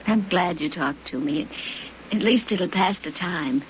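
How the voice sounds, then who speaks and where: An actress in an old-time radio drama playing a resigned, gentle young woman in her twenties, speaking haltingly and wistfully. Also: on the low side, lightly acted. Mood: resigned, sad. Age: 20s. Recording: muffled, very noisy old radio recording.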